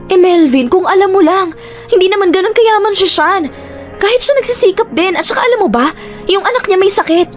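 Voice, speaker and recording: A woman's voice: very high-pitched, expressive, a little fast, soft background music. An actress playing a weary, conflicted young woman scammer who talks bluntly and defensively. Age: young adult. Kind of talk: radio drama acting.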